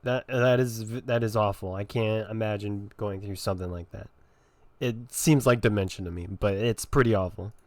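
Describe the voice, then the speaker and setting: A man giving casual, halting commentary as he plays through a game, repeating words as he reacts. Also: fairly low pitch, steady tone, no echo. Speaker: gamer playing through a story quest.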